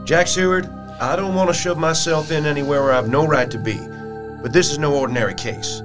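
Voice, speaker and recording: An actor playing an earnest, blunt young suitor with a folksy, emphatic way of talking in a gothic audio drama. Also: male voice, fairly high pitch, fairly quick, close to an everyday voice. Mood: anxious, earnest. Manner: plain-spoken, folksy, emphatic. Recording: music playing behind. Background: music.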